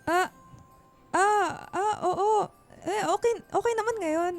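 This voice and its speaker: A woman's voice: very high voice, slow pace, soft background music, full character voice. A woman playing a shy, smitten teenage girl in a radio drama, stammering and exclaiming as she fibs. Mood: flustered, nervous.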